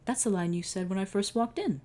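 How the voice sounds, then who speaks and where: Eager curious boy, voiced by a man: high, bright, rushing delivery. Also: lightly acted.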